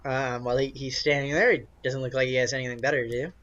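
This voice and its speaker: A man runs a tabletop game, improvising scenes in a casual, halting way. His voice sits at an average pitch, and the recording is slightly dull. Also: little pitch movement, a bit bright. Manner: halting, casual, searching for words. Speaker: game master.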